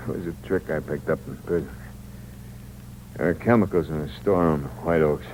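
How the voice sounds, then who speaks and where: An actor playing a young criminal who has been shot and is dying, speaking weakly and haltingly in a 1950s radio drama. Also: male voice, darkish tone, slight background noise, poor audio. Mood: weary. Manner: weak, low, faltering speech.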